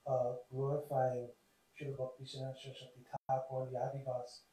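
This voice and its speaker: A hoarse man giving an earnest, measured devotional talk, explaining points step by step. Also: medium pitch, monotone, dark tone. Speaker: devotee speaker. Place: temple hall. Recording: very echoey hall, voice from far off.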